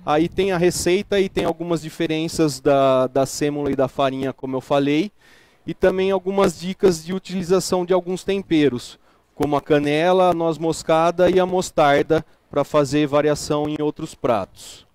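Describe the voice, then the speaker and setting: Chef with a fairly high voice, dry sound, walking an audience through a recipe. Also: male voice, normal speed, darkish tone, clean tone, no room sound. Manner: explanatory, with tag questions. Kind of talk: cooking demonstration.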